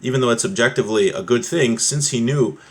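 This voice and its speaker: A man's voice: medium pitch, fast. A man teaching an informal religious class, reading out phrases from a text and explaining them step by step, often rephrasing and posing questions that he answers himself. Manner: explanatory, repeats and rephrases points, asks and answers own questions.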